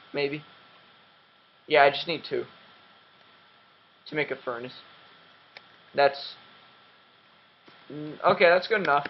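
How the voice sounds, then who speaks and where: Young male gaming host, slightly high voice that cracks, rambling and self-deprecating; dull lo-fi mic with faint hiss. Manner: rambling, self-mocking, many ums and restarts. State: voice cracking and dry. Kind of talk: casual game commentary.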